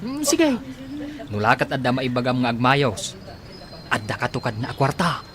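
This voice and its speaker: A probing detective in a radio comedy drama, played by a man, with very animated intonation. Clear vehicle-like street noise runs behind him. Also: mid-range voice, normal speed. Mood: bargaining. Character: probing detective from out of town, bargaining. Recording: noisy, traffic-like street ambience.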